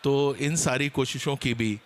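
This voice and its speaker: A man speaking as a panelist at a seminar, explaining his points in a deliberate, hesitant way. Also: medium pitch, steady tone.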